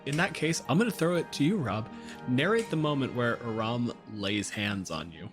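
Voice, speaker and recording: A man's voice: medium pitch, animated, normal speed. A man casually narrating and refereeing a tabletop roleplaying game as game master. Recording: music playing behind. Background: background music.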